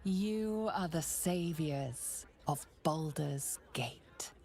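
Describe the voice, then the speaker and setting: A woman playing a grave storyteller in a fantasy game, narrating slowly and solemnly with ominous weight and a husky, gravelly voice. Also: low voice, a little mellow, heavily acted. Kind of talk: dramatic second-person game narration.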